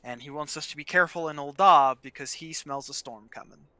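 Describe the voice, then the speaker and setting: This young man has a very bright, slightly high voice captured with a dry sound. He co-hosts gameplay in a casual, playful and informal way. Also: fairly quick, dry recording. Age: young adult. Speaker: gaming co-host. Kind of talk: casual chat over gameplay.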